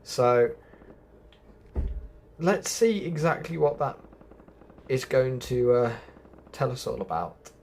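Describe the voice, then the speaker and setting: A man's voice: mid-range voice, slow pace, pauses often, a little mellow, a little hiss or hum. A man giving a casual, chatty gameplay walkthrough, explaining things directly to viewers as a video host.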